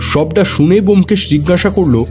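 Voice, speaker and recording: A man narrating a detective story with expressive, suspenseful delivery. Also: high voice, normal speed, over music.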